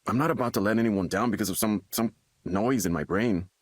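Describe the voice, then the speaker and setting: An actor playing a troubled young man desperate to stay normal, agitated and halting as he repeats himself in a video game. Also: fairly low pitch, no echo, clean studio sound, lightly acted. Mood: insistent, defensive.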